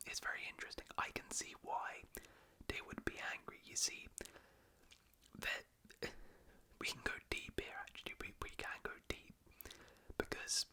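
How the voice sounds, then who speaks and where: A man whispering casual, rambling talk as a football fan, with frequent restarts. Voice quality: soft whisper throughout. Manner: rambling, conversational, restarts often.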